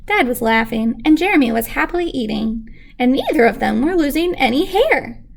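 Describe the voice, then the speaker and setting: Female teacher for picture-book read-aloud, highish voice with clear creak, expressive storytelling. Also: moderate pace.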